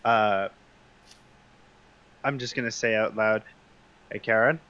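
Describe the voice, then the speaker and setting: A man's voice: on the low side, steady tone, takes it slowly, sharp tone, smooth. A man casually improvising as a player in a tabletop roleplaying game. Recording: dull, lo-fi voice call.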